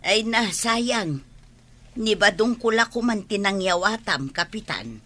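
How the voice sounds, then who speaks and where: A woman's voice: mid-range voice, expressive, measured pace, pushed and bright. A woman playing a nosy village woman in a comedy radio drama, with an Ilocano accent. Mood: probing.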